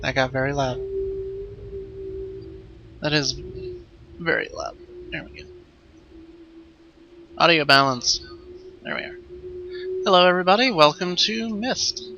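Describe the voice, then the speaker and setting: A man hosting a let's play with casual, improvised commentary, rambling and thinking out loud as he goes. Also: on the high side, measured pace, pauses often, lo-fi sound, background noise.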